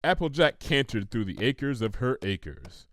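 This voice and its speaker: A man narrates a story in an expressive, scripted style. His mid-pitched voice moves with lively intonation and sounds close and dry. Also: measured pace, dry recording.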